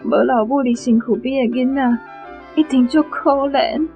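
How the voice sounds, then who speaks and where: An actress with a Taiwanese accent playing a gentle, sorrowful young woman in a radio drama, soft-hearted and unhurried. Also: high voice, muffled recording. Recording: music behind the voice.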